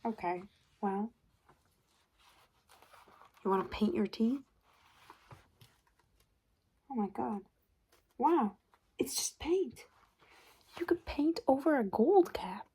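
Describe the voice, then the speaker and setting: A woman speaking softly and breathily in an ASMR roleplay, often slipping into a whisper, chatty and a little hesitant. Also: fairly high pitch, expressive, takes it slowly, pauses often, slightly rough. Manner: hesitant, chatty, murmured reading aloud. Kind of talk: soft-spoken ASMR roleplay. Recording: noticeable background noise. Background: paper and tapping sounds.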